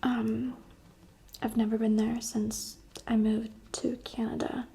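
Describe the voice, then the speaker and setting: A woman's voice: fairly high pitch, level tone, slow pace, creaky, mellow. Whispering young woman, chatty ASMR. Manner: unvoiced whisper, chatty and candid. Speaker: ASMR creator.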